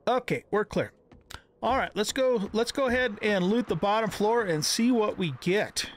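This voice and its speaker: A man's voice: high-pitched, moderate pace, a little hiss or hum. A middle-aged man giving casual gameplay commentary, thinking aloud in a conversational way. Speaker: gaming video host.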